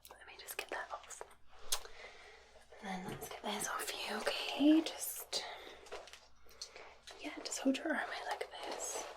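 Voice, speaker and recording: Scottish lady doing soft breathy asmr whispers, gentle nurse checkup roleplay, slightly high voice. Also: lively intonation, a little slow, long pauses. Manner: gentle, reassuring. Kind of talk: ASMR medical exam roleplay.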